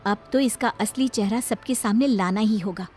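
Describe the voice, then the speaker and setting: A woman voicing a suspicious, bold young daughter-in-law in an animated story, knowing and confrontational. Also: medium pitch, normal speed, husky, faint music behind, natural voice. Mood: determined. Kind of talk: animated Hindi story voice acting.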